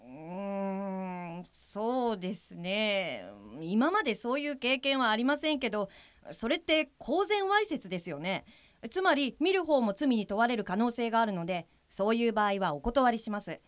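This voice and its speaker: A woman playing a polite, frank guide for blind people in an audio drama, speaking in a measured, matter-of-fact way with a firm edge. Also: a little high, animated, dry recording, lightly acted.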